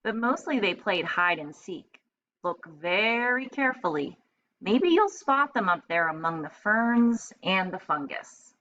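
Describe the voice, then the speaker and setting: A woman reading aloud as a teacher, narrating a storybook and pausing to explain in simple terms. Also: medium pitch, very animated, fairly bright tone, lo-fi sound.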